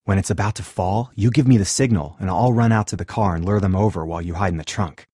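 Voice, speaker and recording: An earnest, tech-minded survivor in a zombie video game. His voice is deep and very husky, captured close and dry. He talks naturally, a bit awkward and hesitant, with wry matter-of-factness. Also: fast, warm tone, no echo. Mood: earnest, planning.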